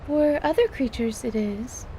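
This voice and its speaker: A woman playing a calm, clinical surgeon in an audio drama, speaking formally and precisely. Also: high-pitched, measured pace, a little hiss or hum. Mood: clinical, curious. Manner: formal, precise, articulate diction.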